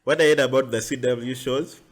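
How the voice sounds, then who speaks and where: Young Ugandan man, podcast host, chatty and animated, casual talk. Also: mid-range voice, brisk. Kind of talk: casual chat.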